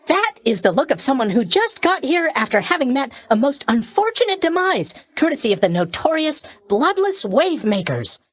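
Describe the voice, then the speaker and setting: A man's voice: very high-pitched, fairly bright tone, dry recording, exaggerated voice. A man playing a cheery, oblivious young god of sleep in a video game, chatty and blithely tactless in his mock sympathy. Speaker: video game character voice actor. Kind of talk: video game character voice lines.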